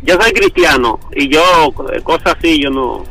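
A man, talking casually on the phone in a halting, repetitive, defensive way. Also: fairly high pitch, expressive, gravelly, sharp tone, breathy edge, poor audio. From Dominican Republic. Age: 50s. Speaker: prank call victim.

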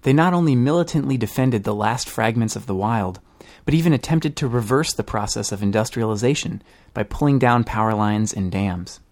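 A man's voice: mid-range voice, expressive, brisk, a little mellow. A man reading scripted documentary-style narration for a podcast. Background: music.

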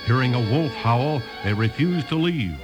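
A male announcer narrates in vintage radio style. His medium-pitched voice reads a script on an old recording, with music clearly behind it. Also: lively intonation, unhurried.

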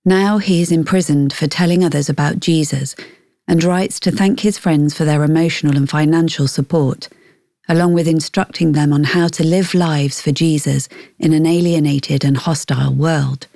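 Low, mellow woman reading. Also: steady tone, moderate pace, vocal fry, no room sound, clean studio sound. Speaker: podcast host and devotional reader. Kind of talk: scripted devotional narration.

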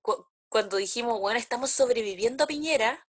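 A woman's voice: on the high side, fairly quick. A Chilean woman co-hosting a podcast, chatting casually and telling stories wryly. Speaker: podcast co-host. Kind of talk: casual chat.

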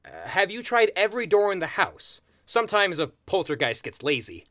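An earnest paranormal hotline agent in an audio comedy. His voice is high and animated, with the courteous, deferential manner of customer service. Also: moderate pace, exaggerated voice. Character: polite, earnest ghost-removal hotline agent. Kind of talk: audio comedy sketch acting.

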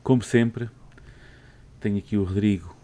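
Male podcast host, European Portuguese accent, low mellow tone, chatty and rambling. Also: normal speed, slight background noise. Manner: chatty, rambling with many fillers. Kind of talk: casual gaming podcast chat.

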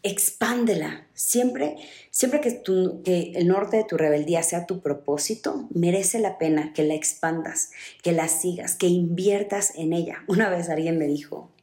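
A woman's voice: fairly low pitch, unhurried, mellow, echoey room. A young woman from Ecuador giving an earnest motivational talk, repeating phrases for emphasis. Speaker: motivational speaker.

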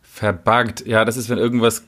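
A middle-aged podcast co-host in casual chat, amused and quick to laugh. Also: medium pitch, little pitch movement, fairly bright tone.

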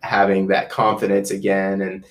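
A man's voice: deep voice, completely flat delivery, normal speed, low-quality recording. A man hosting a casual interview, earnest and repeating his points with frequent ums. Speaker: podcast host.

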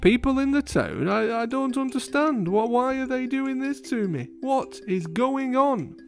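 A man voicing a gentle, polite male lion who is puzzled and speaks with soft courtesy. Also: very high voice, expressive, unhurried, vocal fry, faint music behind, pitched above the performer's own voice, exaggerated voice. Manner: bewildered, softly courteous.

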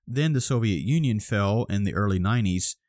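A man's voice: fairly low pitch, animated, a little fast, a little mellow, no echo, clean studio sound. A man co-hosting a podcast, explaining a topic step by step in casual chat, a little groggy. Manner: explains step by step with many restarts. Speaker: podcast co-host.

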